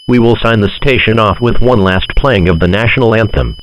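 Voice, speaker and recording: A man playing a formal, stern emergency alert announcer with an official, measured delivery. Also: fairly low pitch, a bit bright, close to an everyday voice. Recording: noisy, humming radio-like recording.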